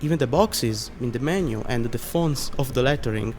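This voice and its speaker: A man's voice: medium pitch, normal speed, very noisy. A man with an Italian accent, a non-native English speaker, narrating a scripted video essay in an earnest, explanatory way.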